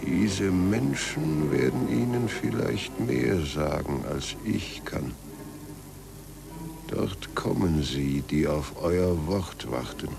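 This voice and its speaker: An actor playing a stern, zealous churchman in a 1940s German radio drama, declamatory and deliberate, with a gravelly voice. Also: deep voice, heavily acted. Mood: insinuating. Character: stern, zealous old churchman, a cardinal inquisitor. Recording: noisy 1940s radio recording with hum.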